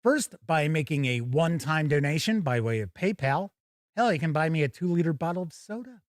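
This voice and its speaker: A man with an American accent and a fairly high-set voice hosts a casual live stream. He chats colloquially, leaning on his words for emphasis. The sound is close and dry, with very little room in it. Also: lively intonation, a little fast, no echo. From United States. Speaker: YouTube live stream host.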